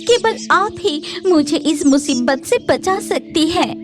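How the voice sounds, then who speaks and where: A woman's voice: high-pitched, lively intonation, slow, over music. A woman voicing a humble, anxious tailor bird who is eager to please, in a children's cartoon. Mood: worried. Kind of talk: Hindi children's cartoon dialogue.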